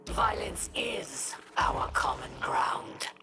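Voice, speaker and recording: Low, stern alien warrior. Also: female voice, expressive, in a whisper, music in the background, heavily acted. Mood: grim, resolute. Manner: terse, measured. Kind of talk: video game character acting.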